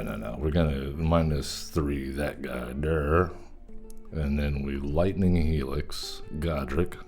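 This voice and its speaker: A man's voice: rumbling low, mellow. A man streaming a card game and chatting easily with viewers as he plays. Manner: easygoing, chatty, thanks viewers. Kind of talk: casual gameplay commentary.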